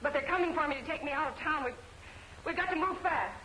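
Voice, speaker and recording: A woman's voice: sits high, quick pace, sharp tone, slight background noise, rough recording. An actress playing a guarded young woman in a crime melodrama, in dramatic 1940s film serial style. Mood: agitated, urgent.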